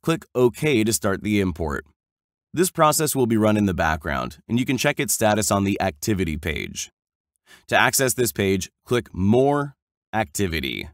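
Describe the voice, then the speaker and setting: Male tutorial narrator, lowish pitch, animated melody, step-by-step scripted delivery, dry close voice-over. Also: moderate pace, dry recording. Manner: clear step-by-step explaining.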